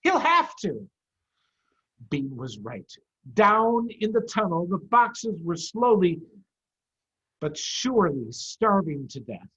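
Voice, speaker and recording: Animated man reading to children, muffled audio. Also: sits high, slow pace, slightly rough. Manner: animated, addressing children with simple questions. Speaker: head of school reading aloud to pupils. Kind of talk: children's storytime read-aloud. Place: office. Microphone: video call. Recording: dull, lo-fi video-call audio.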